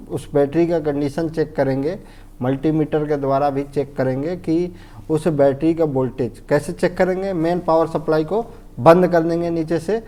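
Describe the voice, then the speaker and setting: Mellow-voiced Indian man teaching, slightly high-pitched. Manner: didactic, repetitive, often checks understanding and asks himself questions. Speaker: fire safety instructor. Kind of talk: informal technical lesson, explaining step by step.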